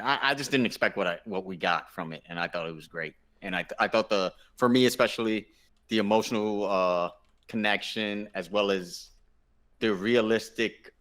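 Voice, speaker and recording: A man with a low, bright voice talks casually on a panel. He is effusive and keeps stopping to rephrase as he goes, with a forceful edge to his tone. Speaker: gaming show panelist. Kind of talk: casual chat, movie discussion.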